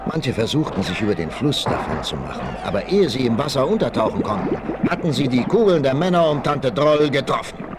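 A man narrates an adventure story in the manner of a 1960s audio play. His mid-pitched voice moves with lively, suspenseful emphasis. The vinyl transfer has clear background noise. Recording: noisy 1968 vinyl transfer.

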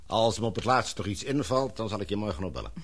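An actor playing a gloomy, businesslike older father and boss in a radio play, measured and authoritative. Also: on the low side, animated, slightly rough. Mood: fatherly. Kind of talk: radio drama acting.

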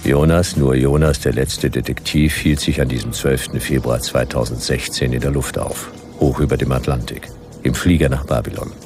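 An actor playing a portentous male narrator in a scripted German radio drama, speaking in a measured way. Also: rumbling low, fairly even intonation, over music. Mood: dramatic, wry. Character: portentous male narrator of a sci-fi detective serial.

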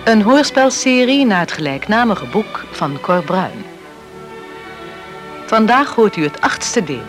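A man's voice: sits high, sing-song, slow pace, music in the background. A man as radio announcer, reading a scripted introduction to a drama serial.

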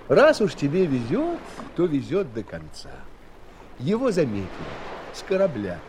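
An actor playing a wry storyteller, narrating a fairy tale with theatrical, expressive delivery and dramatic pauses. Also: male voice, on the high side, slight background noise. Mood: storytelling. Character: wry male storyteller addressing young friends. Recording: 1970s studio audio play, music behind.

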